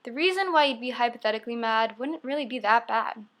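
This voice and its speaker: A young woman delivers spoken-word poetry. Her voice sits a little high and has a bright, forward edge. She recites expressively, breaking the verse into short, emphatic phrases. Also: fast talker. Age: young adult. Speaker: poet.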